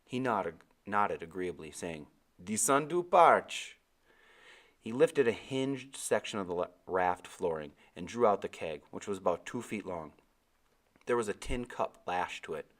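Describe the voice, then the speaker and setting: A man with a fairly low voice reads a storybook aloud. He delivers it as scripted narration, in the role of the narrator. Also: lively intonation, moderate pace.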